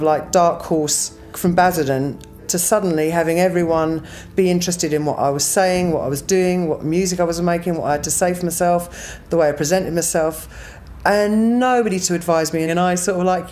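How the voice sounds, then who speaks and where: Deep-voiced woman, candid. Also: a little mellow. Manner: candid, searching, with repeated phrases. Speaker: interviewee. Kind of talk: reflective documentary interview.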